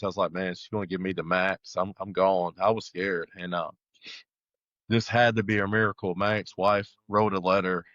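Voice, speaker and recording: Deep-voiced man telling his story. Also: fairly bright tone, dry recording, lo-fi sound. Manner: halting, conversational storytelling with reported dialogue. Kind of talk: casual personal testimony.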